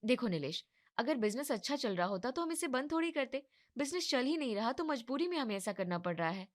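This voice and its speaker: A practical young wife voiced by a woman with a clearly raised pitch. She is coaxing and matter-of-fact, speaking as in an animated domestic moral tale. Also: a little fast. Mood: firm, practical. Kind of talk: Hindi animated moral story dialogue.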